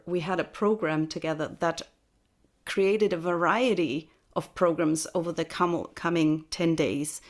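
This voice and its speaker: Woman, from Austria, slightly low voice, chatty, laughs often, video call. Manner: chatty, laughs often, restarts mid-phrase. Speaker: guest speaker. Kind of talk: casual spoken reflection. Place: home in Texas, early morning.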